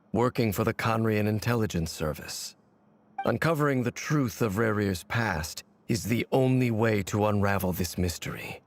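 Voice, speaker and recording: An actor playing a grave, haunted ancient wanderer in a game, speaking in a measured, formal way. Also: male voice, fairly low pitch, natural voice. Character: brooding ancient male wanderer haunted by betrayal. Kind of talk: dramatic game voice acting.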